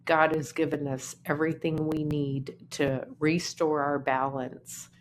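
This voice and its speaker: A woman's voice: flat delivery, slight background noise. A woman, musing unhurriedly in a casual vlog from her apartment. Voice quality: naturally deep voice. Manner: unhurried, musing, trailing off between phrases. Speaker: artist vlogger. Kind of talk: casual talking-to-camera vlog.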